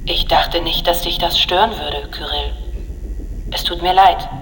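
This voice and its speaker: A woman playing a gentle, insistent artificial intelligence in a radio drama, soft, measured and polite, in a reverberant recording. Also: lowish voice, steady tone. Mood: gentle, coaxing. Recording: reverberant hall, faint background noise.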